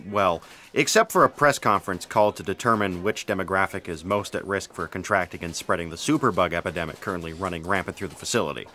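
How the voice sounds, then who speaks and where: A man's voice: low-pitched, fast talker, few pauses, bright, forceful tone, a little hiss or hum. A man playing a deferential, officious aide reporting to his boss in an audio comedy drama. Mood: dutiful, officious.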